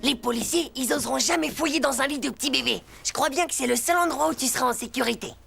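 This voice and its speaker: A cheeky little cartoon schoolboy with a high, creaky childlike voice. He stammers out excuses and easily tips into overblown panic. Music plays behind him. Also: fast. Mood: secretive. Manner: hesitant stammering, overdramatic. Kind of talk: French cartoon voice acting.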